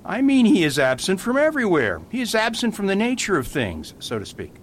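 Radio mystery priest male actor, gentle and amused, fairly high voice with swooping intonation. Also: a little fast. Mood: playful explaining. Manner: animated, patiently explanatory. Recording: faint rumbling background noise.

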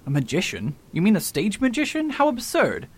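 Self-important scholar-doctor male actor for a radio whodunit, higher-than-average voice, baffled and disbelieving. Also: huge pitch swings, normal speed, husky, full character voice. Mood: incredulous. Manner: pompous, incredulous. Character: pompous, baffled learned doctor, coldly overconfident sleuth. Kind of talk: radio mystery acting. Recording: faint rumbling background noise.

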